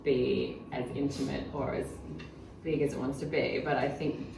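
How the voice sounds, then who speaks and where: Very low-voiced woman in a noisy room. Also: flat delivery, moderate pace, vocal fry. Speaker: director briefing actors. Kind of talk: informal rehearsal instruction. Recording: roomy, distant mic, loud background noise.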